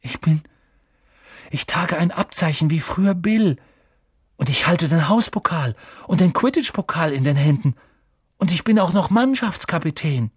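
A man voicing a blunt young schoolboy in a storybook reading, a loyal best friend who grumbles and gets excited quickly. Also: medium pitch, husky, no room sound, studio quality, pitched above the performer's own voice. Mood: amazed, excited.

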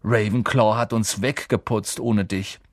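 A man's voice: fairly low pitch, steady tone, measured pace, dry recording, clean studio sound. A man voicing a proud, chatty, matey schoolboy in an audiobook, speaking colloquially and with emphasis. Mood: proud, urging. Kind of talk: audiobook character voice.

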